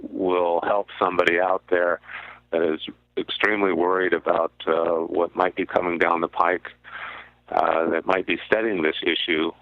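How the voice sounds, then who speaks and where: A man speaking as a radio guest, explaining in deliberate, halting short phrases over a narrow call-in line. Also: sits low, dry recording. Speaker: guest commentator on a radio show. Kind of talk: explanatory talk about finance. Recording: muffled narrow phone-like line.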